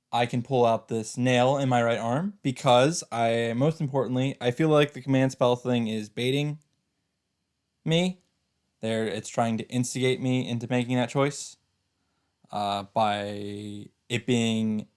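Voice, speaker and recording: A man giving casual let's play commentary and reading visual novel narration aloud in a halting, rambling way. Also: medium pitch, darkish tone, clear, resonant tone.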